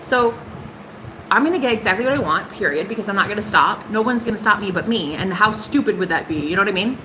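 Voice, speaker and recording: Young woman, sassy livestream tarot reader, mid-pitched voice, steady room noise behind. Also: fast. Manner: sassy and emphatic, swings high for punchlines. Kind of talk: casual livestream tarot reading.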